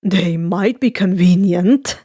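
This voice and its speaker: A woman's voice: fairly low pitch, slow, mellow, dry recording, studio quality, pitched below the performer's own voice. A woman voicing a sardonic, teasing young male villain in a story reading.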